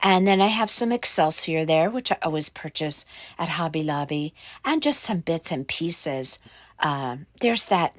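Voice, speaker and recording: A woman hosting a craft tutorial, her voice raspy and croaky from a cold, explaining each step slowly with fillers and self-corrections. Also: sits low, lively intonation, no echo. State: hoarse from a cold.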